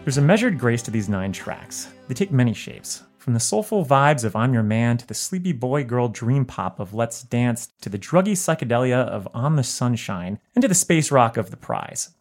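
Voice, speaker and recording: A man narrating a written album review in a measured, articulate way. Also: medium pitch, very animated, faint music behind. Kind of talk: scripted narration.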